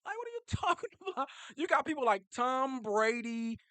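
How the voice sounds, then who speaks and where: A young man ranting about his team, high-pitched and bright, animated and emphatic, often repeating a phrase to drive it home. He speaks close to the mic, and the sound is dry. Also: normal speed, no room sound. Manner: animated, repetitive, laughing, emphatic. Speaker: sports fan vlogger. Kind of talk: casual fan reaction rant.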